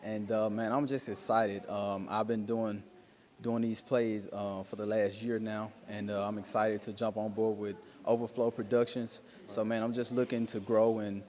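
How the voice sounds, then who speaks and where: Man, lowish voice, flat intonation, halting and earnest, casual interview. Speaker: stage actor being interviewed.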